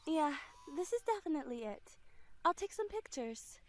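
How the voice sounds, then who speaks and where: A woman's voice: high-pitched, very animated, normal speed. A woman playing an idealistic, compassionate young operative burdened by guilt in a radio drama, earnest and emotional. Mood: earnest, troubled. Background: background music.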